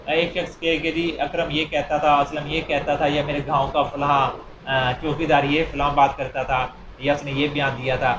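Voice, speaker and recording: A man with a Pakistani accent giving an informal explanatory law lecture, repeating and rephrasing his points with examples. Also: on the high side. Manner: repeats and rephrases points, mixing Urdu with English legal terms. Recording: noisy, echoey room.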